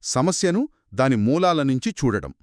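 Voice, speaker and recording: A man's voice: medium pitch, big pitch swings, normal speed, dry recording, studio quality. A man co-hosting a conversational story discussion in an analytical, explanatory way. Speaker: podcast co-host.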